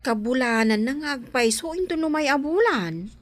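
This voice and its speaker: Woman playing lively, chatty village woman. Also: sits high, normal speed. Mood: concerned, advising. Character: practical, chatty older-sister village woman. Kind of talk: radio drama acting.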